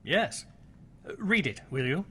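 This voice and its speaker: An actor playing a shrewd, commanding police inspector in a radio mystery, wry and pointed. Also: male voice, on the high side, sing-song, unhurried, bright, forceful tone, a little hiss or hum, exaggerated voice. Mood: shrewd suspicion. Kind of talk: radio mystery drama acting.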